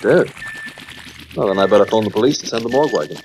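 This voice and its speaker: An actor playing an eager, loyal male lab assistant in old radio drama, excitable and dutiful. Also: fairly low pitch, fast. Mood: alert. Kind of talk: 1940s radio drama acting. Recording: old radio recording, music behind.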